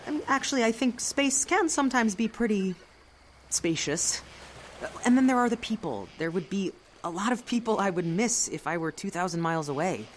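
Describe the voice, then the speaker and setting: A woman's voice: mid-range voice, animated, moderate pace, slight background noise, close to an everyday voice. A woman voicing a shy, awkward young man who stammers and hesitates, in an audio drama. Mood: shy, earnest.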